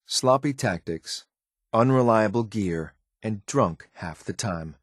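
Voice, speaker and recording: A man's voice: fairly low pitch, expressive, slow, no room sound, studio quality. A man voicing a stern, dutiful synth soldier companion in a video game, speaking in a clipped, matter-of-fact military way with some disdain. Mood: watchful, tactical.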